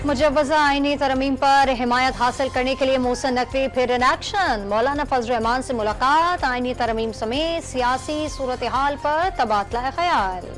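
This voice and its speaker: A woman with a Pakistani Urdu accent reading scripted TV news headlines in a brisk, formal manner. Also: high-pitched. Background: news music bed.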